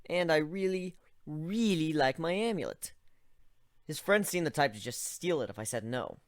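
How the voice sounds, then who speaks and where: Male amateur reader, wry first-person narration, animated, dry mic. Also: medium pitch, no room sound. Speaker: story reader. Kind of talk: first-person dramatic reading.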